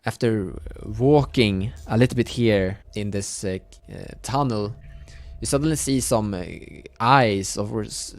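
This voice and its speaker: A man acting as game master, improvising narration for a tabletop horror roleplaying game in a halting way. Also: fairly low pitch, no room sound.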